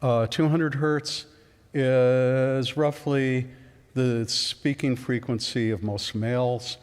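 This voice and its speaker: A middle-aged man with a low voice giving a public science lecture in an auditorium, explaining step by step with ums and restarts. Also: fairly even intonation, slow pace, clear, resonant tone. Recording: long auditorium reverb.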